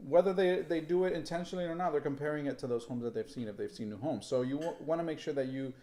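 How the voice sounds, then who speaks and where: A man in his thirties co-hosting a casual advice chat, explaining steps in a steady, practical way. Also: a little high, fast. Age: 30s. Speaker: real estate agent, co-host. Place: indoor room set, seated at a table.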